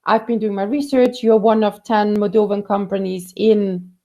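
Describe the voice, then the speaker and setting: Casting note: woman, career coach, warm mellow tone, chatty and loose with "um"s and restarts. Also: mid-range voice, fairly even intonation, normal speed. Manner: conversational, frequent fillers and restarts. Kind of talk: live Q&A career advice.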